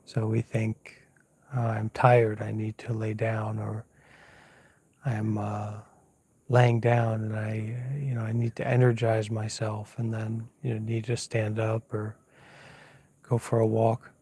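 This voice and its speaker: A man teaching as a monk leads an unscripted guided meditation in a hushed, soft, slightly husky voice, unhurried and pausing often as he gently gives instructions. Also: fairly low pitch, completely flat delivery, dry recording. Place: outdoors at night, lying down under a mosquito net.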